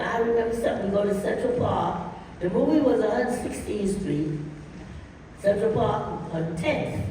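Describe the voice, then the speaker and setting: Older woman telling stories in an echoey hall. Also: mid-range voice. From Harlem, New York. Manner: lively, animated storyteller, often laughing. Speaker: guest dancer. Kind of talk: storytelling on a panel. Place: large hall at a dance camp. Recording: echoey hall, distant mic, faint noise.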